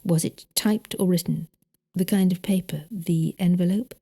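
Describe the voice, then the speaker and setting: A woman voicing a polite, reserved male investigator with a British accent, measured and questioning, in an audiobook. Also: lowish voice, fairly even intonation, warm tone, slightly rough. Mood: courteous, probing. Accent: British English. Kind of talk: audiobook character dialogue.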